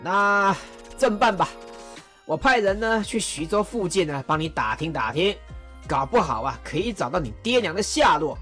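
A woman's voice: fairly low pitch, expressive, moderate pace, fairly bright tone, faint music behind. A woman playing a jovial, teasing male warlord chancellor in an audio drama, chuckling and mock-stern. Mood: kind.

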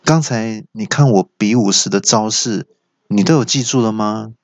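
A man voicing a gentle, composed male monk in his own plain voice. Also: on the low side, dry recording, lightly acted. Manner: gentle, measured.

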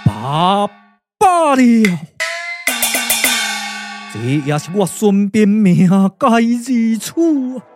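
A man's voice: high-pitched, sing-song, loud music behind the voice, full character voice. A man with a Taiwanese accent playing a grave, courtly, emotional strategist in a glove-puppet radio drama, declaiming theatrically. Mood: anguished. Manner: declamatory, theatrical, drawn-out exclamations.

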